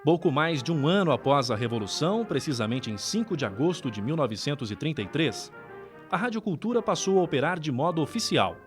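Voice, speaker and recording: Male Brazilian documentary narrator, slightly high voice, very expressive intonation, scripted read. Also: fairly quick. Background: background music.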